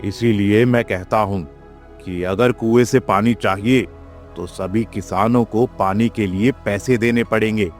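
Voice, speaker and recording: A man's voice: lowish voice, steady tone, a little slow, soft background music, close to an everyday voice. A man voicing an arrogant, greedy village landlord in a Hindi moral-story cartoon, haughty and demanding. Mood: defiant.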